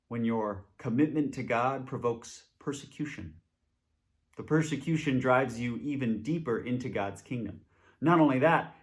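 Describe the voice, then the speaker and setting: A man speaking as a church presenter, giving an informal introduction and reading scripture aloud. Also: mid-range voice.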